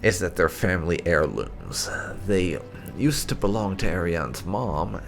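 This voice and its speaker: A man's voice: deep voice, lively intonation, unhurried, creaky. A man hosting a gaming video, giving casual let's play commentary in a wry, chatty way.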